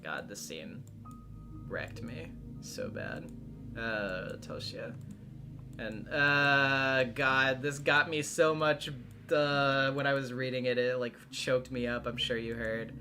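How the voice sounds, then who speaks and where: A man hosting a casual livestream, talking in a halting way and often trailing off mid-thought. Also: medium pitch. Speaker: streamer and voice actor hosting a visual novel readthrough. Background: background music.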